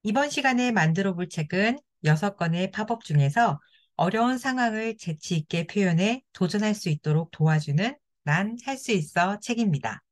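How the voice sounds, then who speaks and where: A woman as instructor, narrating a children's craft and writing lesson in a polite, clear, step-by-step way. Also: medium pitch, animated, normal speed.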